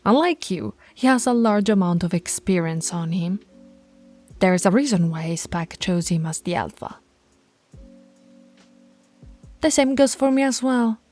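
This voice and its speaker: A stern female werewolf pack alpha, voiced by a woman in an ASMR audio roleplay. She gives orders and scolds, and at times drops to a soft whisper. Her voice is mellow and dark, in a middle pitch. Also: lively intonation, normal speed, soft background music, natural voice.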